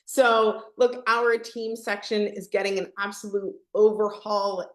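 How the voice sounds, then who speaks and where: A woman's voice: medium pitch, steady tone, a little slow. A woman with a mid-range voice speaks in a noticeably echoey room. She presents like a live webinar host, upbeat and chatty. She keeps checking in with her listeners as she sells the idea. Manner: chatty, peppered with "right?" tags. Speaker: presenter hosting an online product webinar. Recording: echoey, roomy sound.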